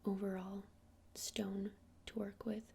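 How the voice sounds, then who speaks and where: A woman playing a friendly witch shopkeeper in an ASMR roleplay, speaking in a soft breathy whisper with hesitant, informal explanations. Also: mid-range voice, flat delivery, slow, a little hiss or hum. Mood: gentle, helpful.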